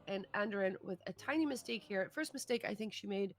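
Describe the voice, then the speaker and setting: A woman's voice: mid-range voice, lively intonation, quick pace. A woman commentating live on a racing game, adding analysis as an esports co-caster.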